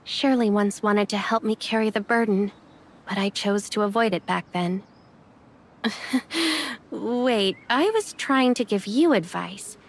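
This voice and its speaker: A woman playing a caring but reserved young woman in an anime game, speaking gently and reassuringly. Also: medium pitch, takes it slowly. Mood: sheepish.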